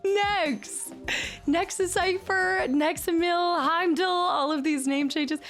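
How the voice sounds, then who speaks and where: A woman's voice: very high-pitched, slow pace, soft background music. A young adult woman streaming, chatting casually with her viewers and reading their names and gifts aloud. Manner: animated, chatty, reads chat names aloud. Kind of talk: casual chat with live chat.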